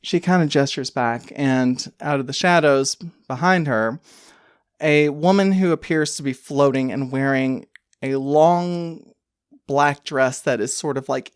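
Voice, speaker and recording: A woman's voice: very deep voice, no echo, studio quality. A woman narrating an improvised tabletop game as game master, descriptive and conversational, feeling out phrases as she goes.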